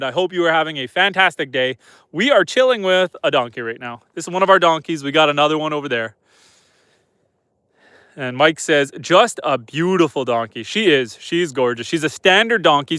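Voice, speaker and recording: Canadian guy with a head cold, nose all stuffed up, kinda high voice, dry close sound, easygoing chatter. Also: smooth, no room sound. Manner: relaxed, chatty, soft-spoken to the animals. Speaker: homesteader streaming live. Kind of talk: casual live-stream chat reading comments. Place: outdoors at night on a farm.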